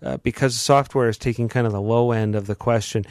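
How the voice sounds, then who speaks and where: Male advice host, calm and explanatory, dry studio voice. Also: mid-range voice, clear, resonant tone, no echo. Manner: measured, explanatory, dry humor. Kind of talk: radio advice talk.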